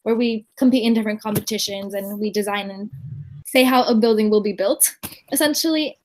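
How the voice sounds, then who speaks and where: A young woman born in Colombia and raised in Florida, introducing herself earnestly as a student ambassador. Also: medium pitch. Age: young adult. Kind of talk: casual self-introduction.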